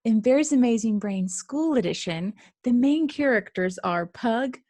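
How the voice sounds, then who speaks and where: A woman presenting a children's read-aloud, explaining things clearly and simply for young listeners as the book's author. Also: a little high, animated, unhurried, dark tone, dry recording. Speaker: presenter, author reading own book.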